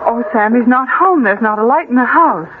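A woman's voice: fairly high pitch, lively intonation, moderate pace, over loud music, lightly acted. Woman acting a frightened, anxious lady, tinny old radio. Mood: worried. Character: frightened, anxious woman fretting over a friend. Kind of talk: 1940s radio drama acting.

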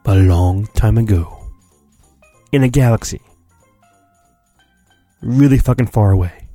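A man's voice: on the low side, measured pace, mellow, no echo, faint music behind. A man hosting a podcast, giving a comic, mock-earnest dramatic storybook reading with chatty asides and his own sound effects.

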